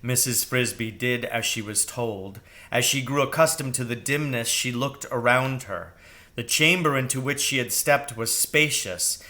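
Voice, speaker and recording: A man narrating a children's storybook aloud in a deliberate, expressive manner. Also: medium pitch. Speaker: reader, teacher.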